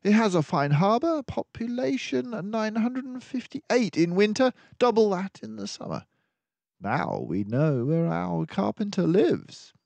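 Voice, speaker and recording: A man voicing a brilliant, excitable, commanding mouse detective in a children's storybook, speaking in an emphatic, theatrical way. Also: sits high, unhurried, creaky, no echo, pitched above the performer's own voice, heavily acted. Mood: triumphant, brisk. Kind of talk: children's storybook read-aloud.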